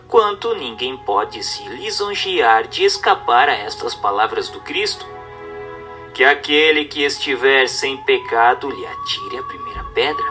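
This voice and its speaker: Bright-voiced man, solemn animated narrator. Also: fairly high pitch. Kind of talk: formal scripted reading of a religious text. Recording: narration with background music.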